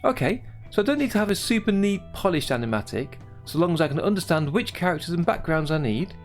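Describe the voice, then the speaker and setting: Lively high-pitched man narrating a tutorial. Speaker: hobby animator presenting own project. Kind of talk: scripted tutorial voice-over.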